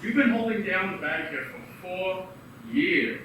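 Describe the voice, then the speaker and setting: A man's voice: high voice, very animated, a little slow, sharp tone, heavily acted. An actor in a radio play voicing a cheerful young man returning home to his brother. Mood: hearty. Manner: hearty then earnest. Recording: echoey room from far off with noise.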